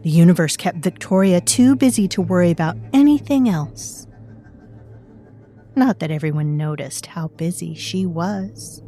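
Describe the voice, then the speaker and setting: A low, mellow voice of an ominous unseen presence, voiced by a woman, narrating an audio drama. She muses darkly and addresses the listener directly, with faint music underneath. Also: a little slow, lightly acted. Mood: brooding. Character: mysterious unseen presence dwelling in a girl's mind.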